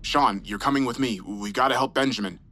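A man voicing a steady, commanding expedition crew leader with terse delivery in a horror story. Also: mid-range voice, brisk, natural voice. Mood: firm. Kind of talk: horror story character dialogue. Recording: clearly noisy background.

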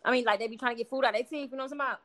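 A woman's voice: fairly high pitch, fairly even intonation, rapid-fire, a bit bright. A woman guest in casual chat, talking in a blunt, slangy, emphatic way. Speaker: interview guest.

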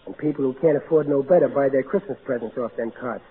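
A man's voice: mid-range voice, fast, slightly rough. An actor playing a big-hearted, blustery middle-aged everyman in old-time radio style. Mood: warm, earnest. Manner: folksy, ungrammatical, earnest, blustery. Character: big-hearted, folksy, exasperated working-class everyman. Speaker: actor in a radio comedy-drama. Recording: narrow tinny vintage radio broadcast, hissy.

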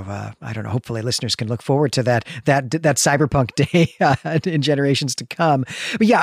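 Mid-pitched man, very dry sound. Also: no echo. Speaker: podcast co-host. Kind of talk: conversational literary analysis.

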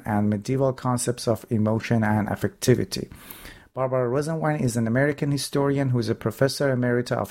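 A man's voice: medium pitch, darkish tone. Formal man hosting an interview. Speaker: podcast host. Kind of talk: academic interview.

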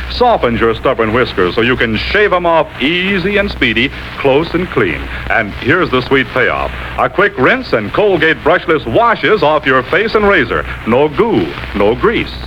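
A man with a fairly high, very lively voice, announcing in the manner of 1940s American radio advertising. He sells with polished, carefully placed stress. The sound is a dull, noisy old broadcast.

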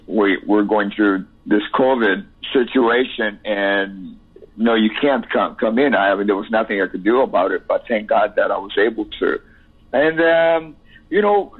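A man in his sixties with a Cuban accent speaks in a husky, slightly breathy voice, restarting his sentences and filling pauses with "you know." His voice comes through a thin, tinny phone line with little low end. His pitch sits in an ordinary middle range for a man. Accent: Cuban Spanish. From Cuba. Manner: halting, repeats words, many "you know" fillers. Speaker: musician guest. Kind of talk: interview over the phone.

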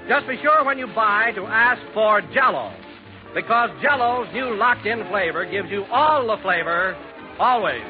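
A man's voice: sits high, normal speed, music in the background. An American man working as a radio announcer, reading scripted copy in 1940s broadcast style. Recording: muffled vintage 1940s radio recording.

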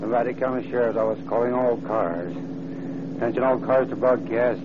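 An actor playing a clipped, official police radio dispatcher in a 1930s radio drama. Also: male voice, medium pitch, fairly even intonation, normal speed, close to an everyday voice. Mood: urgent, official. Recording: very noisy, muffled 1930s radio recording.

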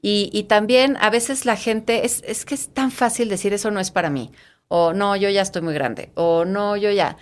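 A woman's voice: mid-range voice, normal speed. A middle-aged woman with a Mexican accent, a guest in an interview, talking eagerly and often restarting or repeating her words. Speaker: guest, fitness studio founder. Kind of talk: casual interview talk.

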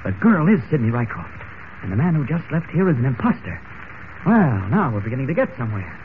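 An earnest newspaper reporter in a vintage radio serial acted by a man, speaking as if thinking aloud. The voice sits at a medium pitch, heard through a thin, tinny band with clear background noise. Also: sing-song, brisk, close to an everyday voice. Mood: intrigued, vindicated. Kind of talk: 1940s radio acting. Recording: noisy, tinny old radio recording.

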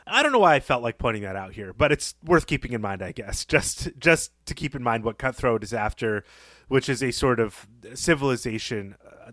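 A man with a mid-pitched voice talks through a story as a podcast host, measured and analytical. The sound is close and dry, with very little room around it. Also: a bit bright, dry recording. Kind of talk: conversational literary discussion.

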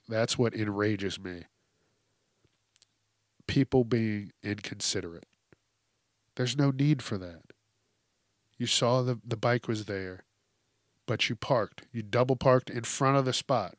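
A man's voice: fairly low pitch, measured pace, long pauses, dry recording. A congested man hosting a solo podcast, talking casually in blunt, emphatic, profanity-laced rants into a close microphone. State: congested from allergies. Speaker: podcast host. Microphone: close hypercardioid podcast microphone.

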